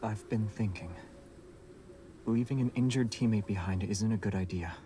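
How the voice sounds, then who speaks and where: A man voicing a calm, mysterious young monster hunter in a video game, soft-spoken, terse and measured. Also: on the low side, slight background noise, natural voice. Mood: calm, guarded.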